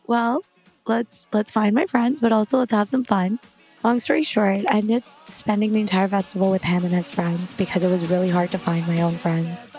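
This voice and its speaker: A young woman hosting a podcast, telling a story in a chatty, animated way and then welcoming a guest. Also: a little high, no room sound. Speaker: podcast host. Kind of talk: casual storytelling and interview chat.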